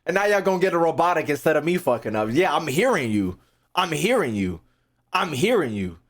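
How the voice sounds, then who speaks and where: Male streamer, American, higher-than-average voice with very lively swings in pitch; brash, sweary, repetitive banter with chat. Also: fairly quick. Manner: repeats phrases for emphasis. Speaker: streamer hosting a reaction video. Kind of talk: casual livestream chat to viewers.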